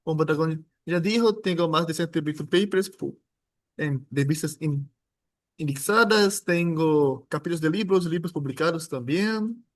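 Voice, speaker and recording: A man's voice: on the high side, unhurried. A man from Brazil, a native Portuguese speaker with a Brazilian Portuguese accent, giving an academic seminar in an informal, joking way with frequent restarts. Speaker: guest lecturer.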